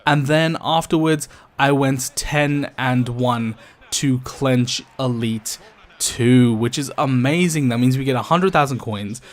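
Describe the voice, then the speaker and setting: Tired, croaky young man chatting over gameplay. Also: medium pitch, takes it slowly. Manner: chatty, addresses viewers as guys, many ums. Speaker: gaming YouTuber. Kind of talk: casual gameplay commentary.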